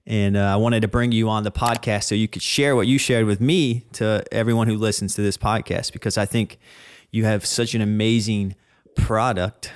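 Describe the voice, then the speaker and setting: Podcast host, a man with a low voice, loose and chatty, dry close sound. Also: steady tone, normal speed, no room sound. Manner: friendly, loose, many fillers. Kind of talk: casual interview.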